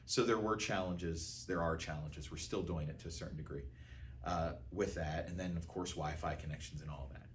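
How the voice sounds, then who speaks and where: An American man with a low-pitched voice talks in an interview. He recounts things in a conversational, storytelling way. A light layer of background noise sits behind him. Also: fairly even intonation, moderate pace. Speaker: art director of a theater and radio drama troupe, interviewee.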